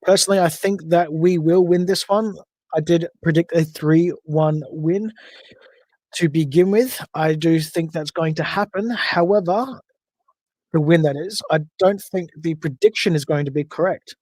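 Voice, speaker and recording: A man's voice: fairly high pitch, moderate pace, darkish tone, no echo. An Australian man hosting a solo podcast livestream, chatting casually and rambling with frequent self-corrections. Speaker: podcast host.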